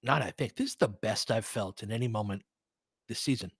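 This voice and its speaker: A man's voice: on the low side, fairly quick, vocal fry, slightly rough, dry recording, studio quality. A man doing casual live basketball commentary and chatting with viewers, informal and slangy with some swearing. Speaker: host of a fan watch-along.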